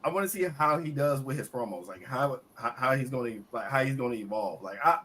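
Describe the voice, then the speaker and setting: Excitable man, bright voice. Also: mid-range voice, a little fast, low-quality recording. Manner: animated, repeats words when excited. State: slightly raspy voice. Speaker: podcast co-host. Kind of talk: casual wrestling review chat.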